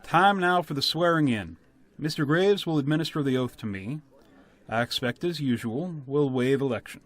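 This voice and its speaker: A man's voice: fairly high pitch, lively intonation, moderate pace, fairly bright tone. A man playing a practical, plainspoken village official in a radio play, folksy and orderly. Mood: businesslike.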